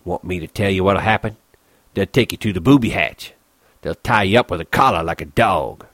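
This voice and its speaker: Man playing a bitter, lonely stable hand, low-pitched. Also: expressive, brisk, a bit bright, no room sound, full character voice. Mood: cruel. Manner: brooding, colloquial rural ranch talk.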